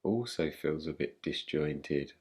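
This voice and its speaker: A man guiding a meditation in a calm, gentle, unhurried manner, leaving long pauses between phrases. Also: rumbling low, slightly rough. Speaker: meditation guide. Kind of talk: guided meditation, yoga nidra.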